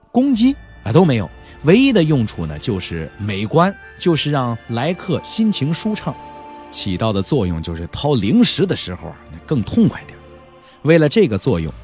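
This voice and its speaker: A man's voice: medium pitch, darkish tone. A man narrating an audiobook as a dramatic, colloquial storyteller, with playful, wry asides.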